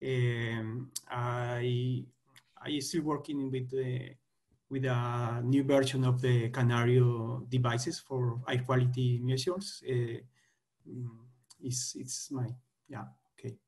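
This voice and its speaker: A man's voice: medium pitch, flat delivery, slow, a little mellow. A man from Colombia, introducing himself hesitantly on a webinar panel with many fillers. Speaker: engineer panelist. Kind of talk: halting self-introduction.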